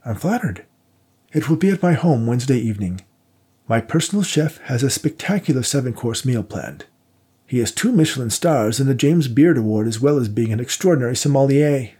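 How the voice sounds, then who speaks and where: A man's voice: mid-range voice, lively intonation, brisk, mellow, slightly rough, voice pushed up. A man voicing a fussy, awkwardly polite elderly male donor with a raised voice. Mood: eager.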